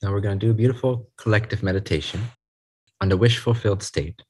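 A man leading a guided group meditation, speaking in a soothing, unhurried way in short phrases with pauses. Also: fairly low pitch, darkish tone, husky.

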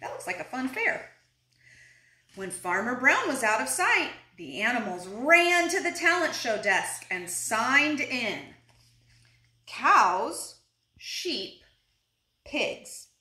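A woman in her thirties reading a children's picture book aloud expressively, deliberately phrased, now and then putting questions to young listeners. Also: a little high, sing-song, bright, forceful tone. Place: living room indoors, seated on a couch. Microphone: camera a short distance away. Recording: roomy indoor echo.

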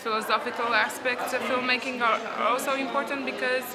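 A woman's voice: fairly high pitch, steady tone, brisk, a loud crowd. A young woman with a Romanian accent, a film student giving casual interview answers full of "like", indoors. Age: young adult. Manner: chatty, lots of "like". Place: indoor festival hall.

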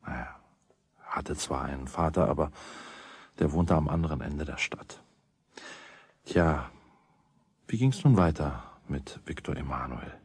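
Wry storyteller, really low husky voice, kids radio play, chatty and musing. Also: male voice, expressive, unhurried, pauses often, a little mellow, no room sound, clean studio sound, full character voice. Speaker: narrator. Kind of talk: German children's radio play narration.

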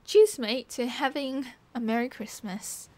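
A woman's voice: high-pitched, unhurried, darkish tone, no room sound. A young woman with an Australian accent playing a cheerful, teasing partygoer in a casual ASMR roleplay, chatting in playful slang. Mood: cheerful, excited. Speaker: roleplay voice actor. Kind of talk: casual ASMR roleplay, one side of a chat.

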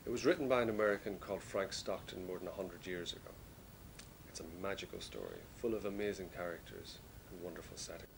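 A man in his forties giving a measured, explanatory scripted narration as an illustrator presenting his creative process. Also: sits low, a little hiss or hum, poor audio. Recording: music behind the voice. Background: prominent plucked guitar music.

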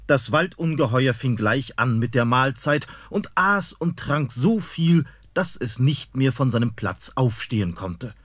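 A man narrating a fairy-tale radio play in an expressive storytelling manner. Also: mid-range voice, measured pace, hardly any pauses, no echo.

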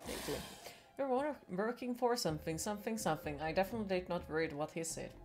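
A woman's voice: fairly low pitch, quick pace. A young woman with a Finnish accent, chatting playfully with her viewers while streaming a game from a small room at home, swearing casually. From Finland. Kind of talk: casual chat with chat while gaming. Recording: faint game music behind.

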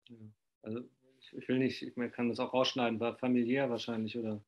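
A man's voice: medium pitch, flat delivery, fast, highs cut off. Rambling middle-aged male interviewer. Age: 50s. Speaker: interviewer, magazine publisher. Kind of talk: conversational interviewing. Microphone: video call microphone at desk distance.

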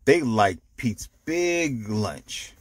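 A man reading a picture book aloud to children, explaining it simply with animated asides. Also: medium pitch, extremely slow, dry recording. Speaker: storytime reader.

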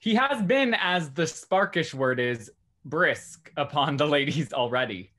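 Male actor as genial young Restoration gallant, higher-pitched, very lively intonation, polite and gossipy. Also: a little slow, exaggerated voice. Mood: amused, gossipy. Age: young adult. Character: genial young gentleman in love, courteous gossip. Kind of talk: stage acting in a Restoration comedy over video call. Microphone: video call.

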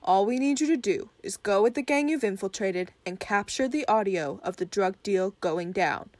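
An actress playing a businesslike, exasperated police surveillance handler in a comedic radio play. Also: female voice, medium pitch, lively intonation, normal speed, a little mellow, no room sound, natural voice.

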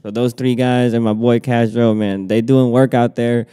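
A young man from the Philippines talks easy and relaxed as a podcast guest, his voice mellow and smooth. He laughs easily and drops shout-outs. The desk mic picks up a lingering reverb from the studio room. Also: medium pitch, moderate pace. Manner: laid-back, laughs often, gives shout-outs. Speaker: guest rapper. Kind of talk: casual podcast chat. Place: small office studio room. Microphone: desk mic on stand.

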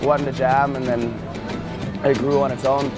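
A man's voice: medium pitch, little pitch movement, unhurried. A man from Texas, a busker, talking casually and ramblingly in a documentary interview. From Texas, United States. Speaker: busker in a street music collective. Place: busy outdoor city street. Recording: loud music behind, street noise. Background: music and traffic.

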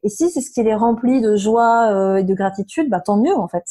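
A woman in her thirties, slightly hoarse, talking frankly and animatedly as an interview guest, with many fillers. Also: mid-range voice, expressive, a little fast, warm tone. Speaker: interview guest, nutrition therapist. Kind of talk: casual conversational interview.

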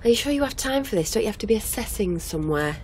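A woman's voice: fairly high pitch, animated, rapid-fire, slight background noise. A woman in a radio drama playing a teasing, sympathetic hitch-hiker and con artist, blunt and coaxing. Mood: breezy, frank. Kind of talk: naturalistic radio drama acting.

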